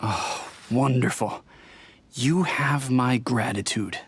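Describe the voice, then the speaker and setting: A man's voice: mid-range voice, slow, a little hiss or hum. A man playing a polite, earnest innkeeper in a video game, speaking formally and courteously with music behind him.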